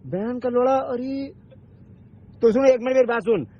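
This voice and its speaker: A man's voice: very high voice, normal speed, a little hiss or hum. A man in a heated phone argument, confrontational and insistent, swearing and repeating himself. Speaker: online video maker. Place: phone call recording. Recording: dull lo-fi recorded phone call.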